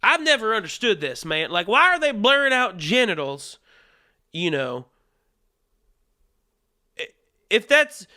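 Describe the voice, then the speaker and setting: A man's voice: high-pitched, very animated, unhurried, long pauses, bright, forceful tone, dry recording, studio quality. A man hosting a comedy show in a put-on Southern US accent, talking casually in a crude, profane, joking monologue.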